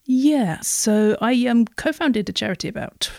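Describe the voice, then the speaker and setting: A woman's voice: fairly high pitch, lively intonation, unhurried, vocal fry, darkish tone, no echo, clean studio sound. A woman from England, a composer and sound designer, talking modestly about her work. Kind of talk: casual podcast chat.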